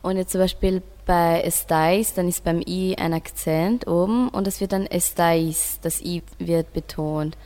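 A woman's voice: on the low side, steady tone, unhurried, hardly any pauses, warm tone. A teenage girl, a school student, informally explaining things to a friend in a hesitant, searching way. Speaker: school student in a radio project.

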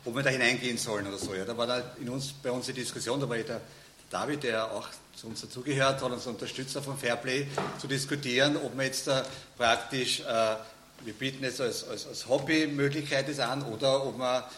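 A man's voice: medium pitch, fairly even intonation, a little fast, a bit bright. A man, explaining at length in a loose, rambling way with many fillers. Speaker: organizer, guest. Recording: echoey room, faint noise.

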